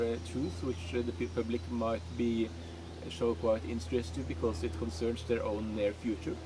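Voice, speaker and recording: He is a man with a mid-pitched, very even voice. He puts questions conversationally and hesitantly, feeling for the right word. Outdoor noise is clearly audible around him. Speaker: interviewer. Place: outdoors in a garden.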